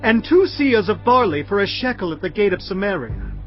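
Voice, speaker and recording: An actor playing a stern, commanding prophet in a dramatised audio Bible, proclaiming loudly and solemnly. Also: male voice, high voice, expressive, a little fast. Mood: stern proclamation. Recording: dull, muffled audio over music.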